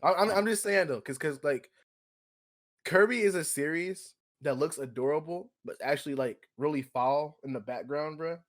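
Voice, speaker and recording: A young American man co-hosting a podcast in casual, slangy group chat, telling things in an animated storytelling way. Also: fairly high pitch, normal speed. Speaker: podcast co-host.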